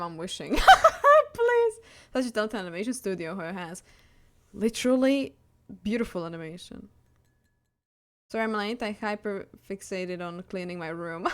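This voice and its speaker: A woman's voice: medium pitch, moderate pace, smooth. A young woman livestreamer chatting casually as she reacts to videos and answers her viewers. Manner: chatty, reading and answering chat. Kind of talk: casual reaction stream chat.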